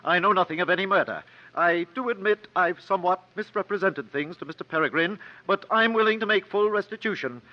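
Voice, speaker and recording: A man's voice: sits high, fairly quick, close to an everyday voice. A man playing a cornered, indignant swindler who protests in formal words, in 1950s radio acting style. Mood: desperate pleading. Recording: muffled 1950s radio recording.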